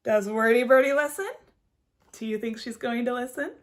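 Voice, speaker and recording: A woman reading a picture book aloud as a teacher, expressive and putting questions directly to young listeners. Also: fairly high pitch, moderate pace, a little hiss or hum. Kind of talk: animated children's read-aloud with questions.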